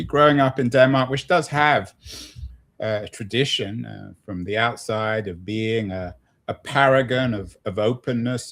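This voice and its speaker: Male interview host, mid-pitched, conversational and probing, circles back on his words haltingly. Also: gravelly, a bit bright. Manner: halting, probing, repeats himself.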